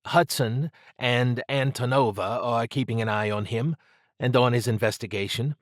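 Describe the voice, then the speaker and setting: A man's voice: medium pitch, moderate pace, no room sound, studio quality, close to an everyday voice. A man voicing a cautious, polite subordinate agent who reports deferentially in audiobook dialogue. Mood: matter-of-fact.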